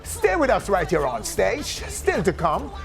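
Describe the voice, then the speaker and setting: High-voiced male news host. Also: wildly swooping pitch, unhurried, vocal fry, soft background music. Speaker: entertainment news host and interviewer. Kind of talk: news reading and studio interview.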